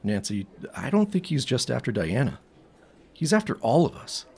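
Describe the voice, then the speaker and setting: An actor playing an earnest teenage boy sleuth in a period audio drama, secretive and boyish, with a husky voice. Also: mid-range voice, very animated, normal speed, a little mellow, full character voice. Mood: urgent.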